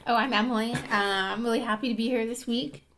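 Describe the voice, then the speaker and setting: A woman's voice: on the high side, fairly even intonation, fast talker, fairly bright tone, slight background noise. A woman speaking casually as a guest on a podcast. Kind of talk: casual chat.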